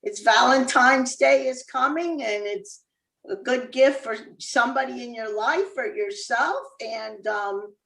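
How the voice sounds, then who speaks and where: A woman's voice: a little high, unhurried, gravelly, a bit bright, reverberant, far from the mic, rough recording. A woman from Cuba, an author and former dentist, talking casually as an interview guest with easy laughs and many fillers.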